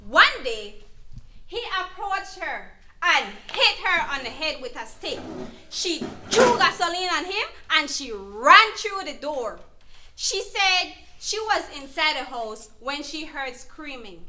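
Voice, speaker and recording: A woman's voice: high voice, sing-song, slow pace, bright, forceful tone, full character voice. A woman playing an earnest, emphatic advocate who counsels an abused mother in an educational dramatization. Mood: grave, emphatic. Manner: emphatic, instructive. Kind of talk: educational dramatization, deliberate explanatory delivery.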